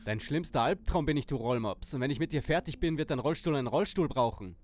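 An actor playing a cocky, self-righteous young detective, bossy and assertive, in an audio drama parody. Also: male voice, medium pitch, lively intonation, fast, lightly acted. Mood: tough, taunting.

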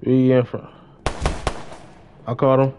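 A man's voice: mid-range voice, completely flat delivery, takes it slowly. A young adult man chatting casually on a gaming livestream, slangy, often repeating his phrases. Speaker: live game streamer. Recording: dull lo-fi stream audio.

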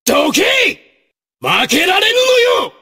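An actor voicing a brave, martial samurai officer in a video game, with gruff, raspy, declamatory delivery in archaic period speech. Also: male voice, very high voice, wildly swooping pitch, slow pace, full character voice. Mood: rousing battle cries. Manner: forceful, declamatory.

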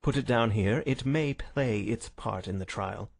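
Storybook detective, played by a male reader: self-assured, wry, theatrical, precise diction, husky and creaky. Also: lowish voice, a little slow, exaggerated voice. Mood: brisk, satisfied. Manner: precise, lofty, courteous. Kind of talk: dramatised audiobook reading.

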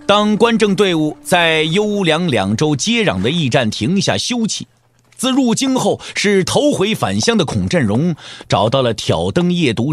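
Animated male storyteller, higher-pitched. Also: no room sound. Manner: theatrical, wry, with rhetorical questions. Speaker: audiobook narrator. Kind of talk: dramatic storytelling narration of a martial-arts novel.

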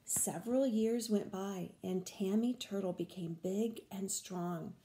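An older woman reading a children's picture book aloud in a deliberate, expressive way, stressing key words. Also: medium pitch, reverberant, low-quality recording. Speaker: read-aloud storyteller. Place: small room at home, indoors.

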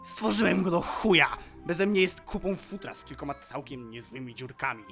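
Woman playing a ranting crude male pimp boss, wild swings, bright edge, over music. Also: deep voice, lively intonation, normal speed. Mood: raging. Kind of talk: fan dub acting.

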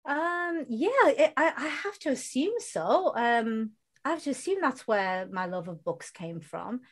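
A woman in her forties from the UK with a British accent, a podcast guest speaking casually and earnestly, slowing down to stress her points. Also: a little high, lively intonation. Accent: British English. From United Kingdom. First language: Bengali. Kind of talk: casual interview conversation.

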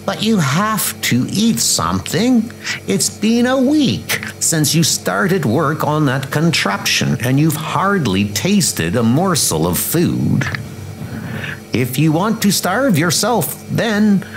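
A man's voice: on the high side, very animated, slow, over music, voice pushed up, exaggerated voice, low-quality recording. A man voicing a fussing, emotional older woman in a comic dub, plaintive and scolding. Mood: fussing, worried.

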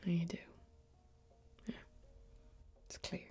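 Whispering young woman, hushed and intimate. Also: faint music behind. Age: young adult. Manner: hushed, slow, deliberate, intimate. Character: female fantasy warrior, solemn, earnest, mysterious. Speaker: ASMR performer in fantasy role-play. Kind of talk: soft-spoken whispered ASMR role-play. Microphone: close microphone.

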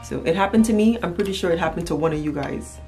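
Woman, thirties, Trinidadian accent, slightly low voice, casual vlog chat, playful emphatic storytelling, faint music behind. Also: fast talker, warm tone. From Trinidad and Tobago. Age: 30s. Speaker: vlogger. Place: small furnished room at home, indoors.

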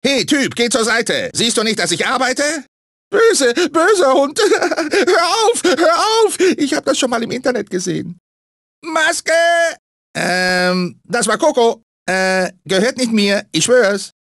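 A manic talking fruit mascot from a cartoon video game. The voice is very high and swoops all over, theatrical and grumpy under a sugary sales pitch. The sound is dry and close. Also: male voice, very animated, takes it slowly, a bit bright, no echo, heavily acted. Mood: irritated, then flustered. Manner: theatrical, swinging between sugary salesman and irritable grumbler. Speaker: video game character actor.

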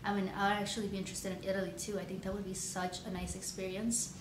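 A chatty woman in her thirties talks to the camera in a loose, rambling way, with plenty of ums and likes. Her voice sits at a middle pitch for a woman. The room sounds echoey, and a clear background hiss runs under her voice. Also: flat delivery, fast. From Chicago, United States. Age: 30s. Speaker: nail artist vlogger. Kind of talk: casual Q&A vlog. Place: home room with shelves, indoors.

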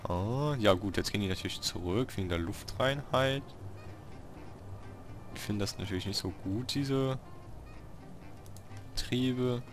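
A man with a fairly low but bright voice comments casually on a video game. He speaks very slowly, in short bits as if to himself. Soft music plays behind him. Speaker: let's player hosting a city-building game series.

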